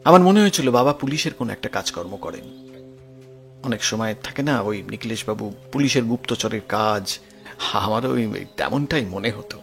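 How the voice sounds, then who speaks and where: An actor playing a grieving, lonely grown son in an audio detective drama, speaking earnestly and with emotion. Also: male voice, on the low side, animated, moderate pace, vocal fry, faint music behind. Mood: pained, reflective. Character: grieving, lonely grown son recounting his murdered parents' past.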